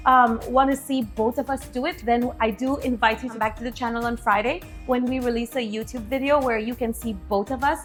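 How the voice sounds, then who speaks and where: A young woman with a light Spanish accent chats through a pole dance lesson in a friendly, easygoing way. Her voice sits a little high. Soft music plays faintly underneath. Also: steady tone, brisk, few pauses. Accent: Spanish-influenced English. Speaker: pole dance instructor, co-host. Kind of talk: casual tutorial chat.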